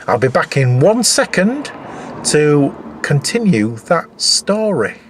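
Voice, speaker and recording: A man's voice: on the high side, sing-song, slow pace, a little hiss or hum. A man in his fifties talking casually in a personal video diary, rambling and hesitant in a matter-of-fact way. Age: 50s. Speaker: vlogger. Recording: echoey room.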